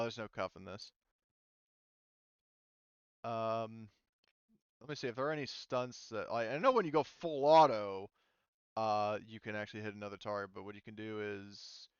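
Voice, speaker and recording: A man's voice: on the low side, moderate pace, pauses often, clean tone, no echo, highs cut off. A man narrating and explaining rules casually as a tabletop game master. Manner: informal, talks through rules as he reads them.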